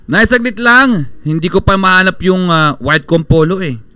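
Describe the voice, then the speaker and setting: Young adult Filipino son, crisp high voice, dutiful, radio drama. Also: male voice, normal speed, bright, forceful tone. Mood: hesitant, placating.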